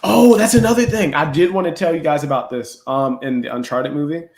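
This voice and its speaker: A man co-hosting a podcast, chatting casually about films in a slangy, animated storytelling way. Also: on the high side, a little fast. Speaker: podcast co-host. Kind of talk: casual film review chat. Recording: roomy echo on the voice.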